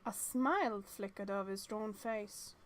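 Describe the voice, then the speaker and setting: A woman's voice: medium pitch, unhurried. A woman reading visual novel text aloud and adding chatty reactions as she plays. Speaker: let's-play streamer.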